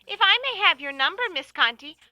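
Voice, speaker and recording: A woman's voice: high-pitched, lively intonation, brisk, pushed and bright, no echo, muffled recording, full character voice. A woman playing a prim, snippy office secretary who answers the phone with a formal manner, in an amateur radio play. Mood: polite.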